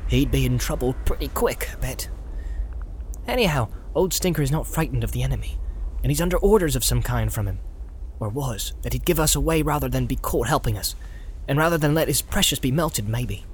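A man's voice: mid-range voice, slight background noise, lightly acted. A man voicing a loyal, plain-spoken male hobbit servant who grumbles his suspicions in a folksy way.

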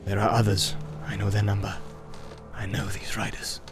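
Guy doing a secretive wandering ranger, lowish voice, grim n wry, fantasy audiobook. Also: flat delivery, over music, lightly acted. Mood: warning, grave. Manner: deliberate, cautioning, wry.

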